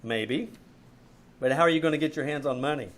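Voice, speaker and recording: Man playing a high-voiced, flustered young fiance. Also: brisk, natural voice. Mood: wry. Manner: stammering, earnest. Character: nervous, lovestruck young fiance covering for his girlfriend. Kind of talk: old-time radio mystery acting. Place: live on a theater stage.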